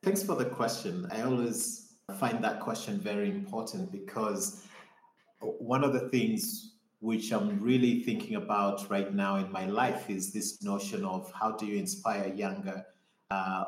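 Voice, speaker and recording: A man, calmly and reflectively telling his life story as an interview guest. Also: on the low side. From Kenya. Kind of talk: conversational interview answers. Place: home or office in Nairobi. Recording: roomy echoey remote call.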